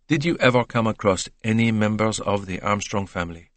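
A man's voice: fairly low pitch, a little fast, dry recording, close to an everyday voice. A man voicing a polite, composed detective who questions formally and dryly in an audiobook mystery. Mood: dry, probing. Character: courteous, probing Belgian detective, dry and composed.

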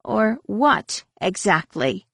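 A woman's voice: mid-range voice, sing-song, a little slow, creaky, husky, no room sound, clean studio sound, full character voice. Woman playing a defiant, combative space heroine. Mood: angry challenge. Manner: challenging, sharp-tongued. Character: combative, sceptical, defiant female space warrior.

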